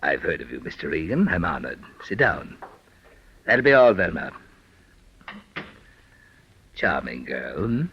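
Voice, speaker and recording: An actor playing a suave, effusive psychic prince with florid, theatrical courtesy in a 1940s radio drama. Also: male voice, a bass voice, sing-song, a little slow, pauses often, fairly bright tone, full character voice. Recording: muffled, lightly noisy old radio recording.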